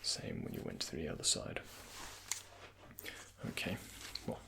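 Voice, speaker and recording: A man speaking softly and breathily in an ASMR roleplay as a doctor, giving gentle, courteous, unhurried instructions to a patient, close to the microphone. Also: extremely low pitch, sing-song, background noise. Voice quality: breathy, often near-whispered. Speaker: ASMR performer playing a doctor. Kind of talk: soft-spoken ASMR medical roleplay, addressing the listener as a patient.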